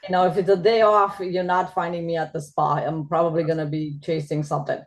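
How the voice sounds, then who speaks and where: A woman's voice: fairly low pitch, flat delivery, a little fast. A middle-aged woman from Romania with a Romanian accent, introducing herself to a club in a chatty way with laughing asides.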